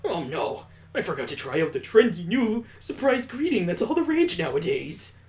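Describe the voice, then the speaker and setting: Man voicing puppyish visual novel girl, excitable, flustered, dramatic, slightly high pitch. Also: moderate pace.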